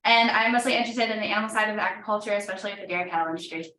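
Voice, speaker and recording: A teenage girl from California introducing herself as a student, brisk and assured. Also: medium pitch, sharp tone. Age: teens. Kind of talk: self-introduction. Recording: echoey room, distant mic.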